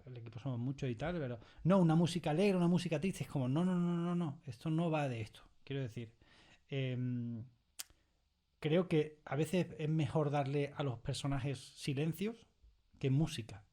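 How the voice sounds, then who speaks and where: A man's voice: on the high side, moderate pace. Man from Spain explaining animatedly in conversation. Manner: animated explaining, repeats words and tags phrases with "¿no?". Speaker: audio fiction creator being interviewed. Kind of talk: casual interview conversation. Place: recording studio.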